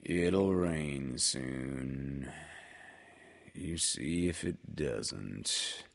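Man doing a gloomy old donkey, very slow, low and pompous, hall echo. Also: lively intonation, full character voice. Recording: long hall reverb.

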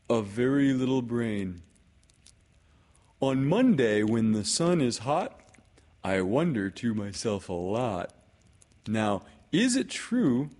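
A man's voice: mid-range voice, darkish tone, pitched above the performer's own voice. A man voicing a good-natured, simple-minded little toy bear in a storybook, hesitant and earnest, with ponderous delivery.

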